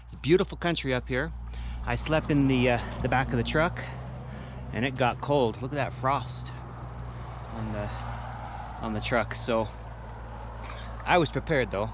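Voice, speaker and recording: A man casually narrating an outdoor vlog in a halting, easygoing way. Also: medium pitch, background noise. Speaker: vlog host. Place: outdoors in mountain country.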